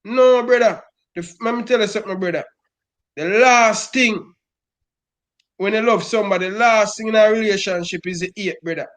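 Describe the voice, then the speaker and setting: Middle-aged Jamaican Patois man, high voice, emphatic fan rant, keeps saying "brother.". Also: moderate pace, a bit bright. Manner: emphatic, repeats "brother" constantly. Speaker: football fan guest.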